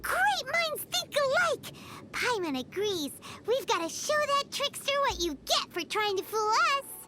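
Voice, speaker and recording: A tiny chirpy game fairy, with an extremely high, squeaky childlike voice. She is cheeky and exclamatory, swooping wildly up and down in pitch. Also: big pitch swings, a little slow, background noise, exaggerated voice. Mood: eager, indignant. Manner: exclamatory, refers to self in third person. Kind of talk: video game voice acting.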